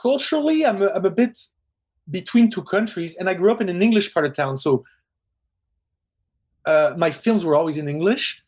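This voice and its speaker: A middle-aged man with a Parisian French accent, a film director chatting in an interview, animated and quick, often restarting his sentences. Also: high-pitched. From Quebec, Canada. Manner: animated storyteller, quick bursts, frequent restarts. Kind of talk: casual interview chat.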